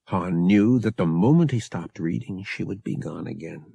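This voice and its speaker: A man narrating fiction as an audiobook reader, dramatic and expressive with measured phrasing. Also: deep voice, gravelly, husky, no room sound, lo-fi sound.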